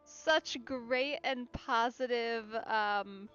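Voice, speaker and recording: A woman's voice: a little high, extremely slow, a bit bright, smooth, muffled recording. A woman giving casual, musing let's play commentary on a game stream. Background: game music.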